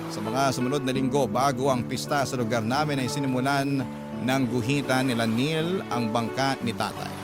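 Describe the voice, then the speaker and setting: A man with a Filipino accent reading a scripted first-person story as the narrator of a radio drama. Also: medium pitch. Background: prominent background music.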